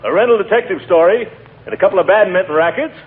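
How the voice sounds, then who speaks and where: An actor playing a pompous, huffy, stingy fat neighbor in a 1940s radio comedy. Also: male voice, sits high, fast talker, natural voice. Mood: fussy. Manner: indignant, theatrically exasperated. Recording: tinny noisy old radio broadcast.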